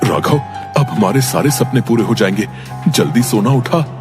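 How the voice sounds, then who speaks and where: A man's voice: mid-range voice, animated, moderate pace, music in the background, natural voice. A man voicing a greedy, stubborn older brother who talks curtly, in a cartoon story. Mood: greedy, eager. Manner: curt, dismissive. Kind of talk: cartoon story dialogue in Hindi.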